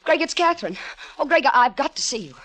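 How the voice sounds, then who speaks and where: An actress playing a volatile, scheming jilted wife in a melodramatic 1950s radio drama, with dramatic pauses and repetitions. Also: female voice, sits high, sing-song, fairly bright tone. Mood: anxious, pleading. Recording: vintage 1950s radio broadcast recording.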